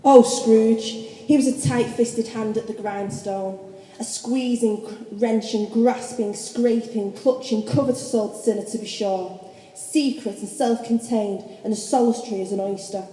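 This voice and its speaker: A woman's voice: fairly high pitch, steady tone, a little slow, warm tone. A woman narrating a story for a radio play in a scripted, dramatic storytelling style. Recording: echoey hall.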